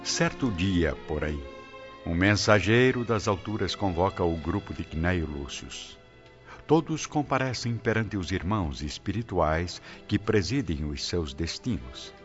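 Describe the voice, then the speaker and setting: A deep-voiced man narrates a radio drama with solemn formality. The recording is dull, with music underneath.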